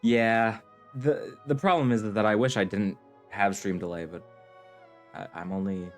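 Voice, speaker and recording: Deep voice guy streaming a game, casual rambly jokey commentary, some music in the back. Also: steady tone, a little slow.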